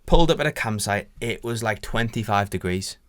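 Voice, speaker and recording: A man's voice: lowish voice, lively intonation, brisk, fairly bright tone, no room sound. A man, co-hosting a podcast, swearing and bantering in casual chat. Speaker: co-host.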